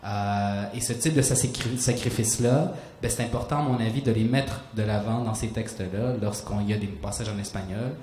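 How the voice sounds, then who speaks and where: A man's voice: on the low side, normal speed, a little mellow. A man in his thirties, a native Spanish speaker, talks reflectively on a panel, searching for words and restarting phrases, into a handheld mic on a small stage. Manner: searching, restarts phrases, gestures while explaining. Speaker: author on a panel. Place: small hall stage with audience. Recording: roomy hall sound, faint noise.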